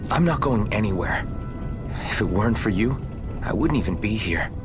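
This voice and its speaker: A man's voice: on the low side, expressive, moderate pace, lightly acted. A man playing an earnest, determined young masked rebel swordsman in a video game cutscene. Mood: sincere, subdued. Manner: earnest, resolute. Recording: music behind the voice.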